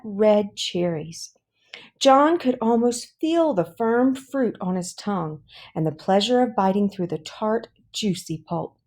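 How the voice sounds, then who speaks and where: A woman reading a children's book aloud as an audiobook, with deliberate, expressive phrasing for young listeners. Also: medium pitch.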